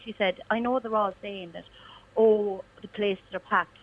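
A woman's voice: medium pitch, steady tone, measured pace. An Irish woman calling in to a radio show, chatting and telling a story about a friend. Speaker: caller. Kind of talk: casual phone-in chat. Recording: dull phone line.

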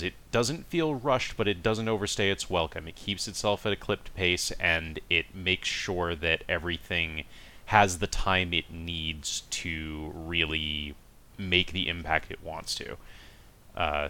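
A man's voice: deep voice, sharp tone. A man co-hosting a casual podcast discussion, explaining his opinions in long, qualified sentences. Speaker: podcast co-host reviewing anime.

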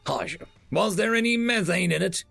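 A man playing a gruff sardonic general. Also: high voice, normal speed, pushed and bright, no room sound, faint music behind, pitched above the performer's own voice, heavily acted. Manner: curt, sardonic questioning. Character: gruff blunt male military general.

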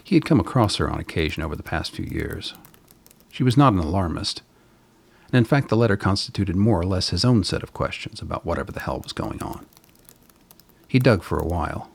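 A man narrating a scripted radio drama in a measured, literary manner, with a gravelly, husky voice. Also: sits low, animated.

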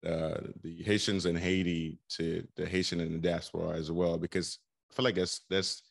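A man's voice: low voice, flat delivery, normal speed, vocal fry. A man co-hosting a podcast, laid-back and chuckling as he talks. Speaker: podcast co-host. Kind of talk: podcast interview.

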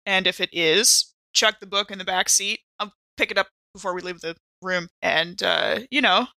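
A woman's voice: medium pitch, normal speed, creaky, pushed and bright, no echo. A woman player improvising casually in and out of character, with a wry, playful manner. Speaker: player in actual-play podcast.

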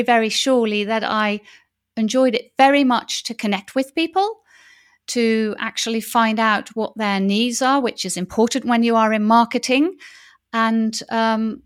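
A woman's voice: on the high side, smooth. A Dutch woman in her thirties, a native Dutch speaker talking in English as a wedding officiant in a podcast interview, enthusiastic, with many fillers and restarts. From Netherlands.

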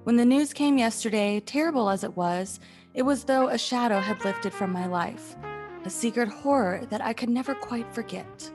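A woman playing a gently sceptical, concerned friend and narrator in a classic radio suspense play. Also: a little high, normal speed, over music, natural voice. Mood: haunted, sombre.

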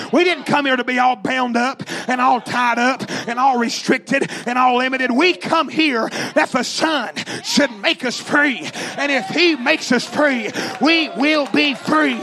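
A man's voice: very high voice, vocal fry, pushed and bright. A man preaching a fervent revivalist sermon, emphatic and repetitive, calling out to his congregation in rhythmic exhortation. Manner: calls out to the congregation.

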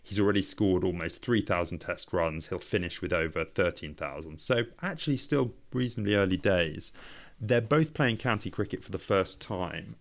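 A man's voice: low voice, moderate pace, creaky. A man co-hosting a sports podcast, talking conversationally and telling stories from prepared notes. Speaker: podcast co-host. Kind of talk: conversational cricket storytelling from notes.

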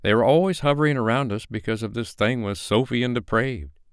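A man's voice: medium pitch, fairly quick, smooth, no echo, clean studio sound, pitched above the performer's own voice, close to an everyday voice. A man voicing a fair-minded, protective male character in an audiobook, earnest and reproving. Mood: troubled, indignant.

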